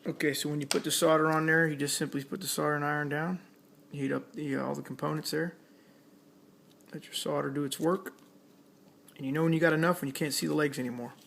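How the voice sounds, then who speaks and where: Flat-toned, higher-pitched man with creaky voice. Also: fast, a little hiss or hum. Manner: plainspoken, step-by-step, talking while working. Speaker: electronics hobbyist demonstrating a repair. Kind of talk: casual hands-on tutorial narration.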